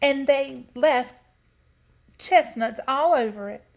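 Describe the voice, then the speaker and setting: A woman's voice: sits high, takes it slowly, gravelly, pitched above the performer's own voice, exaggerated voice. A woman voicing a middle-sized mama bear who cries out indignantly in a storybook. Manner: crying out, indignant.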